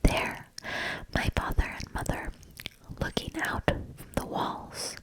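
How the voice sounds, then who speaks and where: A woman voicing a watchful young fairy-tale lady from a storybook, in a breathy whisper. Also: takes it slowly, lightly acted. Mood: watchful, earnest.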